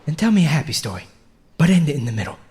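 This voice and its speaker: A man's voice: a little high, sing-song, racing, a little mellow. A man playing an eager, chatty amateur stage actor in audio drama dialogue. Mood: restless, needling.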